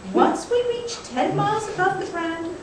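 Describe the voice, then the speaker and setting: A woman's voice: very high-pitched, moderate pace. A woman playing an eager, condescending Victorian professor's daughter in a live comic radio play. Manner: condescending, exclamatory. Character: eager, haughty Victorian professor's daughter, confident in nonsense science. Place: live convention performance in an echoey room. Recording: roomy echoing hall, distant mic, faint noise.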